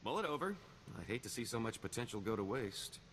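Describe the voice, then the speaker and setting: A man's voice: medium pitch, sing-song, fast talker, fairly bright tone, slight background noise, low-quality recording. A man playing a roguish middle-aged career criminal in a video game, wry and boastful, with a wheedling, theatrical sarcasm. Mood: sly, persuasive.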